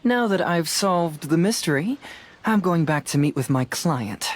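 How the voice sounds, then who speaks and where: A man voicing a shrewd young detective in a video game, calm, measured and matter-of-fact. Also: a little high, slight background noise. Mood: calm, confident.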